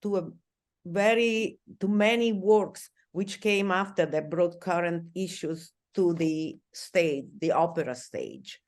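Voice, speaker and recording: Lower-voiced Italian woman interviewer. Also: slow, a little mellow. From Italy. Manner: admiring, searching for words.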